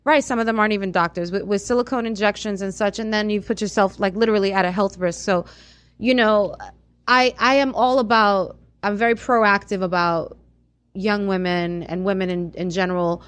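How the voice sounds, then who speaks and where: A woman's voice: mid-range voice, fairly even intonation, moderate pace, a bit bright, clean tone. An American woman hosting a casual radio discussion, speaking in an emphatic, conversational way and checking that listeners follow. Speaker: radio show host. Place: radio studio. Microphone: studio microphone.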